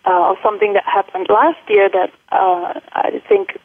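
A woman in her forties with a Dutch accent, a little British and American in it, speaking at a middle pitch. She talks haltingly, with many ums and restarts. The sound is thin and tinny, like a phone line, with no room echo. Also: sing-song. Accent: Dutch, with British and American touches. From Netherlands. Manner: hesitant, many fillers and restarts. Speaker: guest, college faculty member. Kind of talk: interview conversation.

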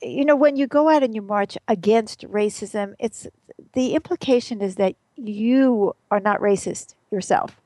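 A woman's voice: mid-range voice, measured pace, darkish tone, no room sound. A woman hosting a talk radio show, speaking in a casual, earnest, chatty way with fillers and small laughs.

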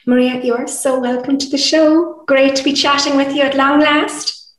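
A woman's voice: high-pitched, steady tone, normal speed. A woman hosting an interview show, reading a polished introduction and asking questions with enthusiasm. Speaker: podcast host. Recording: roomy sound, distant from mic.

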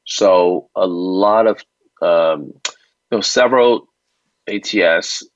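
A man's voice: low voice, slow pace, no room sound. A man speaking as an expert guest in an interview, explaining things conversationally step by step and checking in as he goes. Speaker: expert guest, company founder. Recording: muffled, dry lo-fi audio.

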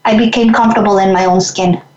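A woman's voice: mid-range voice, steady tone, fast, darkish tone, echoey room, muffled recording. A woman from Mexico, a guest in an interview, speaking reflectively and earnestly, often restarting her sentences. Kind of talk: conversational interview.

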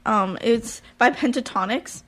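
A woman's voice: on the high side, unhurried, a bit bright. A teenage girl co-hosting a kids' talk show, bubbly and rambling, with lots of "like". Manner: bubbly, rambling, says "like" a lot. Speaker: co-host. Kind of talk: casual chat.

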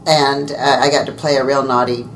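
An older woman with a low voice, an actor and director, telling stories from her life as a guest in a casual interview, with fillers and restarts. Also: little pitch movement, a little fast, slight background noise, low-quality recording. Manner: warm storytelling with fillers and restarts.

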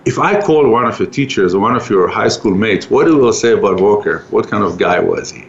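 Halting low-voiced male interviewer. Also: vocal fry. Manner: halting, many fillers and restarts, laughs often. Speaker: podcast interviewer. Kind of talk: casual interview. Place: office boardroom. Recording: dull lo-fi audio with faint hiss.

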